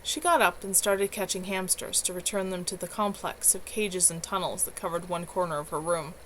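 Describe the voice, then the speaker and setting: A woman's voice: fairly low pitch, moderate pace, fairly bright tone, low-quality recording. A woman narrating a novel aloud as its author, with an earnest, amateur storyteller delivery. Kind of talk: expressive audiobook-style narration. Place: at home, livestream. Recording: clear background noise, home livestream.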